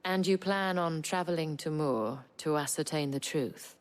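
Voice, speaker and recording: A woman playing a poised, shrewd madame in a fantasy video game, with refined, deliberate, gently teasing speech. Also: sits low, lightly acted. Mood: concerned. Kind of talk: video game cutscene acting. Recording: faint music behind the voice.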